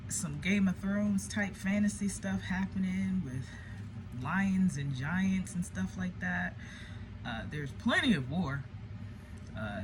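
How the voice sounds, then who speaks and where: A host chatting casually to an online audience in an informal, candid, self-deprecating way, with folksy, colloquial phrasing. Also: medium pitch. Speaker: host, storyteller. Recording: clearly noisy background with ambient sound.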